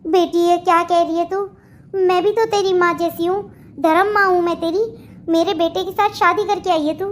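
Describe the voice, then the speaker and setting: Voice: very high voice, steady tone, normal speed, a little hiss or hum. A performer voicing a doting, worried elderly mother-in-law in a family cartoon, in a motherly, pleading manner. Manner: pleading, motherly, repetitive endearments. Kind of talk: Hindi family comedy cartoon dialogue.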